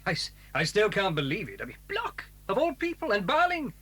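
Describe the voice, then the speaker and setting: An actor with a British accent playing a stuffy, theatrical gentleman in a children's audio drama. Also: high voice, wildly swooping pitch, brisk, slightly rough, full character voice. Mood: flustered. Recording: 1980s cassette dramatisation.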